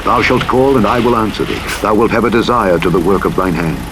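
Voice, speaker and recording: A man with a very low voice gives a solemn reading of scripture. His delivery is formal and measured, with a dramatic narrator's weight. Clear truck engine and vehicle noise runs behind him. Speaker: Bible audiobook narrator. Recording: playback under loud truck engine noise. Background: truck engine and vehicle noise from a driving game.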